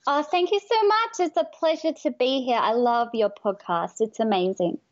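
A woman's voice: on the high side, moderate pace. Chatty Australian woman on a dull line. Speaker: life coach guest. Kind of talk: interview answers.

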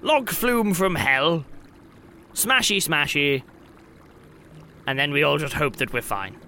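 Wry, high-voiced sarcastic man. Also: expressive, takes it slowly, sharp tone, slight background noise. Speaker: tabletop role-play player. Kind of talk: improvised in-character banter.

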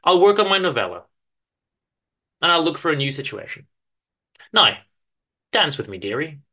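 A man's voice: a little high, very animated, normal speed, pushed and bright, voice pushed up, full character voice. A man reading a story as a jobless husband, breezy and affectionate, putting on cheer in a chirpy way. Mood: brightly defensive.

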